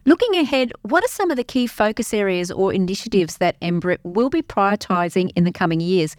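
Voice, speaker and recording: A woman's voice: mid-range voice, expressive, brisk, no echo, clean studio sound. A woman presenting community radio, reading prepared interview questions in a friendly manner. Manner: friendly, clearly scripted questions.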